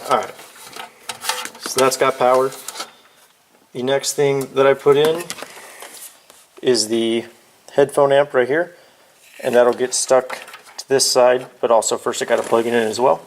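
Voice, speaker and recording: Male DIY presenter, mid-pitched, informal and offhand, walking through a hands-on demo with some background noise. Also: steady tone, a little slow, pauses often. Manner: informal, offhand, thinking aloud while handling gear. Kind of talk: casual hands-on how-to demonstration. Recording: clear background noise, clicks of handling.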